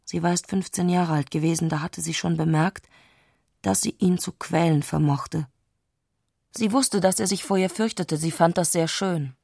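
Eine Frau spricht in einem literarischen Hörspiel die introspektive Erzählerin, die mit bedachten Pausen die Gedanken einer aufgewühlten Frau schildert. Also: female voice, fairly low pitch, creaky, darkish tone, dry recording, studio quality. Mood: introspective, hushed. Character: intimate, introspective female narrator of a troubled woman's thoughts. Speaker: narrator in a literary radio play. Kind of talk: literary radio drama narration.

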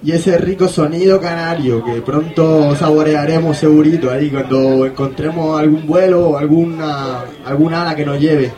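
A man's voice: fairly high pitch, normal speed, dark tone. A man hosting a radio show casually, in a rambling, playful way.

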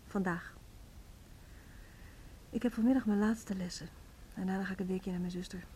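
A woman's voice: mid-range voice, fairly even intonation, moderate pace, warm tone, a little hiss or hum, close to an everyday voice. An actress playing a weary woman music teacher with a drinking problem, speaking frankly in a naturalistic radio drama. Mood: subdued, resolved.

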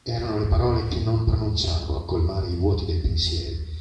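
A man giving an expressive literary reading of a first-person story, with measured, dramatic phrasing and pauses. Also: on the low side. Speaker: reader at a public literary reading. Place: live reading at an outdoor festival. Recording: very echoey, distant mic, noisy outdoor ambience.

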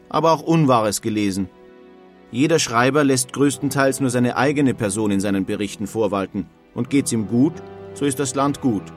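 Actor as a disillusioned German emigrant of the 1800s. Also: male voice, medium pitch, natural voice. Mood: sober, warning. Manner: formal, old-fashioned wording. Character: disillusioned 19th-century German emigrant man writing letters home. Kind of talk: dramatic reading of historical letters.

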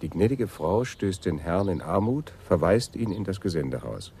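An actor playing an analytical, inquisitive police detective with a measured manner in a German radio play. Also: male voice, low voice, animated, vocal fry, dark tone, slight background noise.

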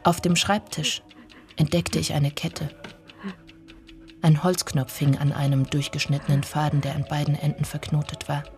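Low-voiced woman narrating a radio drama. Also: little pitch movement, darkish tone, soft background music. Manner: measured, short deliberate phrases. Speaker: actor narrating. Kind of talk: literary first-person radio drama narration.

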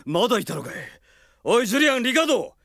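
A man's voice: very high-pitched, big pitch swings, very fast, vocal fry, fairly bright tone, dry recording, heavily acted. A male actor playing a brash, battle-hardened but loyal mercenary in a radio drama, with blunt, slangy, casual speech. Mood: brisk, commanding. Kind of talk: Japanese radio drama acting.

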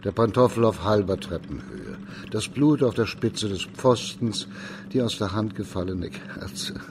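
An actor playing a composed, stern judge and investigator in a radio crime drama, measured and formal. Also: male voice, fairly low pitch, animated, creaky, noisy background. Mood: reflective, analytical.

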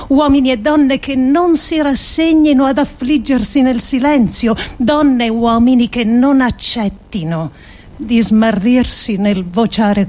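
A woman with a fairly high voice delivers a dramatic literary monologue. She speaks very slowly and solemnly, weighing her phrases and leaving deliberate pauses. The delivery is that of a radio actress reading a scripted text. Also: darkish tone.

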